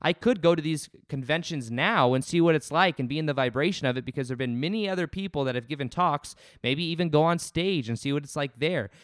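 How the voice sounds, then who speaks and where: A man in his late twenties hosts a casual self-help podcast from his home. His loud voice is smooth and resonant, and he speaks earnestly, circling back with restarts. The recording is close and dry. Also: a little high, dry recording. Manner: earnest, rambling, with frequent restarts. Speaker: podcast host. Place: home studio.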